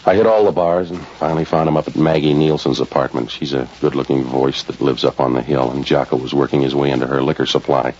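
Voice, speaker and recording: A cynical 1940s radio detective speaks from very deep down. He tosses out wisecracks with sardonic, deadpan weariness, on a dull, faintly hissing vintage recording. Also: fast talker, hardly any pauses. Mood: wry, brooding. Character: wisecracking hardboiled male private detective, cynical and world-weary. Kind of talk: 1940s radio noir acting and narration.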